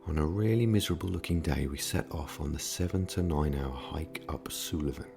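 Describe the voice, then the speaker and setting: A man with an extremely low voice and plenty of vocal fry narrates as a podcast host. He reads a scripted, personal introduction in storytelling style, with music playing clearly behind him. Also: fairly quick.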